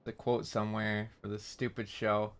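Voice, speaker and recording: A man's voice: lowish voice, completely flat delivery, normal speed, fairly bright tone, clean tone. A young man from Canada with a slight rural Canadian accent and a nasal voice, chatting casually and rambling while streaming a game, swearing often and mocking himself. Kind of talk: casual gaming stream chat.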